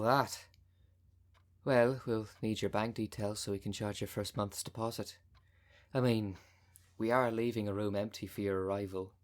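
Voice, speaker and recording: A man's voice: lowish voice, close to an everyday voice. A man voicing a scheming female cult recruiter in an audio roleplay, speaking with formal, businesslike politeness and dry condescension. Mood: polite, slightly bitter.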